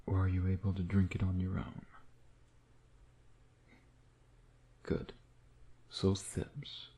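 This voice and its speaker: A man playing a smug, flirtatious vampire nemesis with a caring streak in an intimate ASMR roleplay, teasing and sardonic, slipping into breathy whispers. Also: low-pitched, monotone, a little slow, mellow. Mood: bossy, caring.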